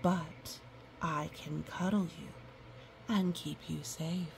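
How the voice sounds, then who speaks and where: Woman as a slow, gentle mother polar bear. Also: low voice, fairly even intonation, creaky, slight background noise, exaggerated voice. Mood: gentle, reassuring. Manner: reassuring, unhurried. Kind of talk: storybook character voice.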